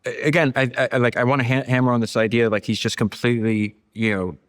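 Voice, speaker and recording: A man's voice: mid-range voice, level tone, fast. A man hosting an interview podcast, discussing a book emphatically and repeating phrases for stress. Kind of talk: animated book discussion, reading quotes aloud and commenting.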